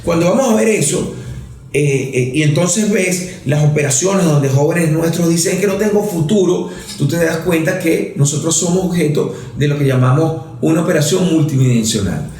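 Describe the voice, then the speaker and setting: A man's voice: a little high, a little mellow. A man giving a conversational political talk, fluent but full of restarts, fillers and rhetorical questions. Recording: very echoey room.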